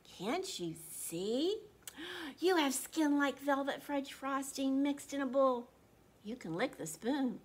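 High-voiced, loving storybook mother read by a woman. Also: lively intonation, slow pace, a little mellow. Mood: tender, admiring. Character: loving, soothing, admiring mother of a young boy. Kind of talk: children's storybook reading.

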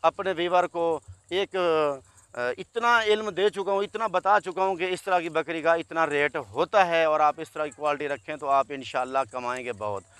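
A man in his thirties from Pakistan talks in a slightly high voice, chatty and salesman-like. He keeps addressing his friends as he shows his herd. He speaks outdoors in an open field into a phone held at arm's length. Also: normal speed, a bit bright, clear, resonant tone, no room sound. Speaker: goat farm owner and seller. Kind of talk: casual sales walkthrough vlog.

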